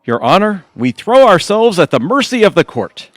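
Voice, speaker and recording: A grandiose, bumbling older married man in a radio comedy. His voice is a bit high and very lively, on a dry recording. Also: normal speed, no echo. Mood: pompous, pleading. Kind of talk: scripted audio theatre comedy acting.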